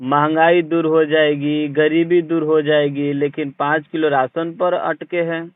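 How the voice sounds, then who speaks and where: Clear-voiced male journalist on phone. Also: on the high side, flat delivery, a little slow, dry recording. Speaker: journalist interviewer. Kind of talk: phone interview questioning. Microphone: phone call. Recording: muffled lo-fi phone call recording.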